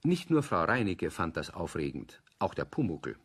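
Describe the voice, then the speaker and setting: A man's voice: deep voice, big pitch swings, normal speed, husky, exaggerated voice. A man narrating a children's audio play as a scripted storyteller.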